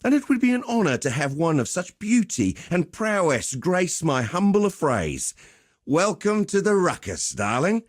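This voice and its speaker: A man's voice: high voice, very animated, normal speed, no echo, clean studio sound. A man playing a swaggering, cheerful arena fight promoter in a video game, with theatrical, chummy, slangy patter. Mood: charming showman. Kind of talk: video game character voice lines.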